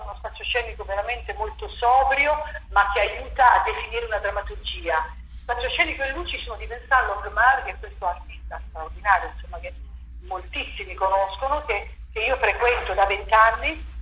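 A woman playwright and director giving an interview about her stage play, talking in an animated way and restarting her sentences often as she thinks aloud. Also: fairly high pitch, normal speed. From Italy. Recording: echoey room with faint noise.

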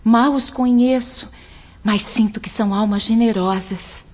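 Meek young penitent played by a woman, high earnest voice, radio drama, dull recording. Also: steady tone, measured pace, darkish tone. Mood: hopeful. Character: meek, remorseful young Portuguese woman seeking new life.

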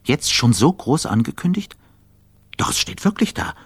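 Radio drama: wry, self-dissecting male journalist, breathy and very raspy, creaky low register. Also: very animated, moderate pace, dry recording. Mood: incredulous, indignant. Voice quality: husky, breathy, gravelly. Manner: wry, self-dissecting, often dropping to a near whisper. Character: self-doubting, bitterly cynical male television journalist, smoker. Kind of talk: radio play interior monologue.

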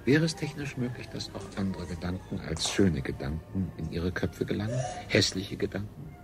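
An actor playing a smug, pleasure-seeking ruler of a space colony in a radio play, with a pompous, declamatory manner. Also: male voice, low voice, animated, moderate pace, vocal fry. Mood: amused. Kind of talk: 1970s German radio play acting. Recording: music behind the voice.

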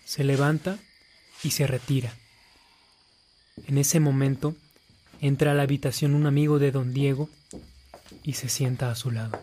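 An actor narrating a saint's life in a radio drama as a measured storyteller. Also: male voice, medium pitch, fairly even intonation, warm tone, slight background noise, close to an everyday voice.

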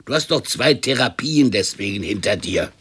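An actor playing an ailing, scornful and impatient uncle of eighty in a radio drama, grumbling and firing sarcastic questions. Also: male voice, fairly low pitch, animated, normal speed, a bit bright, slightly rough. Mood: scornful, exasperated. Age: older.

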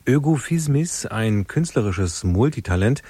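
A man's voice: mid-range voice, lively intonation, a little slow, warm tone, husky, dry recording. A man reading a scripted introduction to a radio play as a broadcast announcer. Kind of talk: scripted narration, programme introduction.

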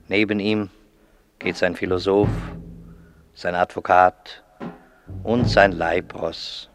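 A man's voice: sits low, fairly even intonation, takes it slowly. A man narrating a radio drama about a Roman state funeral in a solemn, scripted style. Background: music.

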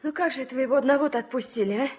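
A woman's voice: sits high, racing, lightly acted. An actress playing an impulsive, emotional and headstrong young girl, a skipper's granddaughter, in a 1970s Soviet radio drama. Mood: distressed, indignant. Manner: urgent, emotional questioning.

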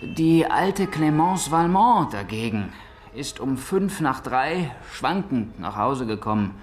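A man's voice: mid-range voice, very animated, takes it slowly, a little hiss or hum. An actor playing a dutiful policeman giving a plain, slightly colloquial surveillance report in a German radio crime drama. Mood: matter-of-fact.

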